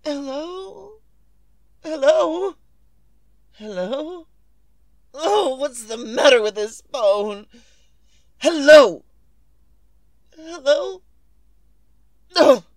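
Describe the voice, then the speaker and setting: A woman's voice: high voice, lively intonation, very slow, pauses often, no echo, heavily acted. A woman playing a nervous, demanding bedridden wife in old-time radio suspense style, fretful and imperious, stammering and repeating herself. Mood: frantic. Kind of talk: 1940s radio suspense acting.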